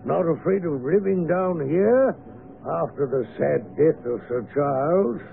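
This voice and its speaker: An actor playing an affable, eccentric naturalist neighbour with a sinister edge, chatty and courteous, in a radio drama. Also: male voice, fairly high pitch, lively intonation, unhurried, gravelly. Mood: friendly. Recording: noisy phone-like vintage radio broadcast.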